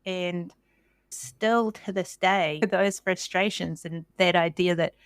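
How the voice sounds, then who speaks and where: A woman's voice: on the low side, fairly even intonation, slow pace, clean tone, no echo. Kiwi woman hosting a podcast, casual and chatty, dry close mic. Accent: New Zealand. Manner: friendly, chatty, informal. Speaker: podcast host. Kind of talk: conversational podcast interview.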